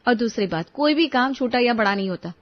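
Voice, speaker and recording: Young daughter-in-law played by a female narrator, high voice, earnest, pleading yet firm, cartoon moral tale, slightly muffled audio. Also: expressive, a little fast, natural voice. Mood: earnest, defensive. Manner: pleading, reasoning, polite but firm.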